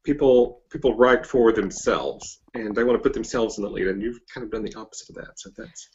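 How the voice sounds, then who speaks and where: A man hosting a casual interview, speaking in a hesitant, halting way and often repeating himself. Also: fairly low pitch, a little mellow, lo-fi sound. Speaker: podcast interviewer, host.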